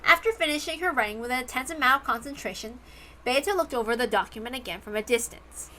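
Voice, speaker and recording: A woman's voice: sits high, fast, pushed and bright, slight background noise. A woman reading a story aloud as scripted narration. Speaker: reader.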